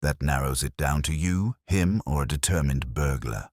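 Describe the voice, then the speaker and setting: Man as a very deep, wry hardboiled detective. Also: moderate pace, no room sound, clean studio sound. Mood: wry, probing. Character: wry hardboiled male detective's assistant, tough and sardonic. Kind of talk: first-person detective audiobook narration.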